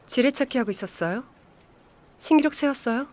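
A woman's voice: high voice, brisk. An actress in an audio drama playing a curt, blunt female team leader in her thirties. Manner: blunt, deadpan. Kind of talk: Korean audio drama acting.

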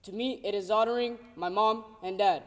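High, projecting teen cadet from Portugal. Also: male voice, steady tone, moderate pace, a bit bright. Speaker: military academy cadet. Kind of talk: formal memorized speech. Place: dark auditorium stage. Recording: echoey hall, distant mic.

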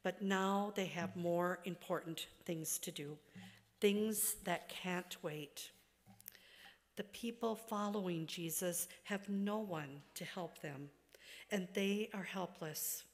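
Slow, measured woman preaching in a reverberant church. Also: on the low side. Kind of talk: sermon. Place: church sanctuary, at a wooden pulpit. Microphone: gooseneck pulpit microphone. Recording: long reverberant church hall.

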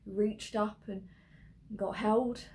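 A woman acting a stage monologue as a despairing grown daughter explaining herself to her mother in halting, hesitant phrases. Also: mid-range voice, steady tone, darkish tone, a little hiss or hum. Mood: wistful, tender.

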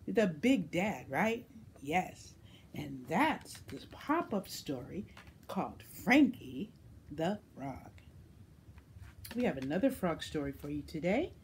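A middle-aged woman reading picture books aloud to children in an expressive, animated storytelling style. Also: lowish voice, slow pace, pauses often, slight background noise. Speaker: library storytime reader.

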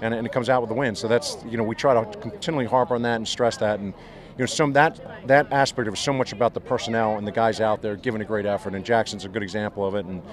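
Fast-talking, lower-voiced football coach. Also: male voice, fairly even intonation. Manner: fast, rambling, many restarts. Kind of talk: postgame interview. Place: outdoors on a football field. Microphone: handheld mic held by interviewer.

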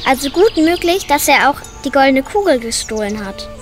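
A woman acting in a children's radio play in a lively, eager way, with music behind her. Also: high voice, moderate pace. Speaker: amateur radio play actor.

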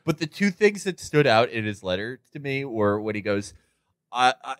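American male podcast host, lively and profane, mid-pitched, loose comic riffing. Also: normal speed, no room sound, clean studio sound. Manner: crude, rambling, profane. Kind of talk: casual comedic banter.

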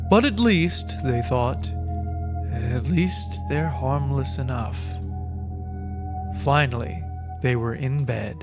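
A man telling a scripted story as its narrator. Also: mid-range voice. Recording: music under the voice.

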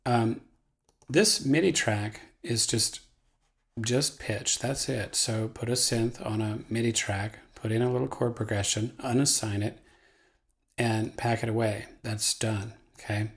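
A man giving a casual software tutorial as the trainer, talking in a folksy, playful way. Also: fairly low pitch, fairly even intonation, unhurried.

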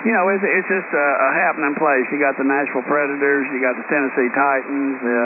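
Male radio hobbyist from the US, mid-pitched, folksy casual chat on a static-filled narrow signal. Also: steady tone, fast. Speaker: amateur radio operator. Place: received over shortwave radio. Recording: noisy, hissy narrow-band ham radio signal.

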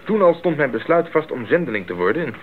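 A man's voice: a little high, very animated, quick pace. An actor playing an idealistic, eager young male missionary in a 1980s radio drama, speaking earnestly and articulately. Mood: cheerful. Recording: narrow-band 1980s radio recording, faint noise.

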